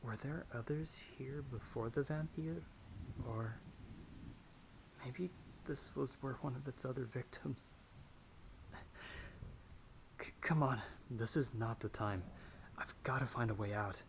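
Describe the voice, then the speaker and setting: A man playing a timid young traveler in an ASMR audio roleplay, speaking softly and hesitantly. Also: medium pitch, slow, creaky, pauses often. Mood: anxious, searching. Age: young adult. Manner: soft, hesitant, stammering when afraid. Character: timid young male traveler, storyteller trapped by a vampire. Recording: background storm noise.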